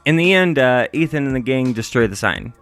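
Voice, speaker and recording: A man's voice: medium pitch, lively intonation, brisk, vocal fry, fairly bright tone, soft background music. A man narrating a scripted review as the reviewer.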